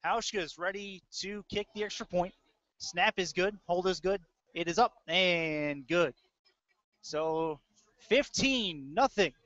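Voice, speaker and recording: A man's voice: high voice, slow, bright, forceful tone, dry recording. An American man working as a play-by-play announcer, giving live commentary on a football game. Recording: dull, lo-fi webcast audio.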